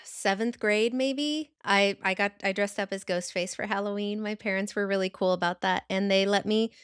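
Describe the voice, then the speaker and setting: A woman's voice: mid-range voice, fairly even intonation, moderate pace, smooth, no room sound, clean studio sound. A woman podcast host talking casually and conversationally with her friends. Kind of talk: casual chat.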